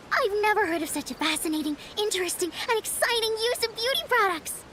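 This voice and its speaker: A woman voicing an excitable, proud young female inventor of beauty products, gushing theatrically in a video game cutscene. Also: extremely high pitch, fairly quick, a little hiss or hum, full character voice, rough recording. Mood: excited, proud.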